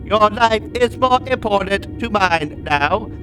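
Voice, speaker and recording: A man's voice: high voice, lively intonation, slow pace, pushed and bright, faint music behind, exaggerated voice. A man playing a self-sacrificing dying brother, tender and solemn, in an amateur audio drama.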